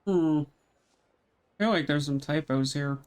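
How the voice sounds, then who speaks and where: Game streamer, female: low-pitched, unhurried and sarcastic, casual running commentary. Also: darkish tone.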